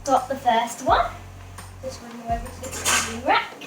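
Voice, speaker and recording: A woman's voice: fairly high pitch, very animated, moderate pace. A woman chatting casually and cheerfully as she talks through a recipe she is cooking at home. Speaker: home cook presenting a recipe. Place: home kitchen, indoors. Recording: roomy kitchen with music and clattering dishes.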